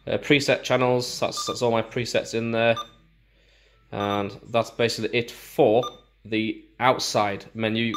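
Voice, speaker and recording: Man explaining gear casually. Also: medium pitch. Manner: explanatory, informal, halting as he demonstrates. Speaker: gear reviewer. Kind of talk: casual hands-on product walkthrough.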